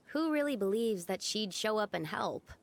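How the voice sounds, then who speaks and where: A woman's voice: mid-range voice, expressive, slow pace, slight background noise. A woman playing an earnest, self-doubting young village girl in a video game, with a hesitant manner. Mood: resigned, doubtful. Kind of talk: video game character acting.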